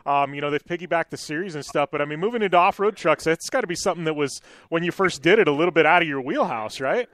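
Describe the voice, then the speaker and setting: A man's voice: on the high side, fast, hardly any pauses, a bit bright, no echo. A man hosting a casual radio interview, chatty and rambling through his questions with many fillers. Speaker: radio show host.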